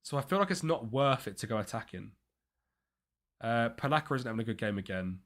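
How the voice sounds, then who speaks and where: Young guy on a live game stream, bright punchy voice, chatting with chat and thinking out loud. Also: medium pitch, expressive, fairly quick. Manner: chatty, thinks aloud, reads out chat messages. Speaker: streamer playing a football management game.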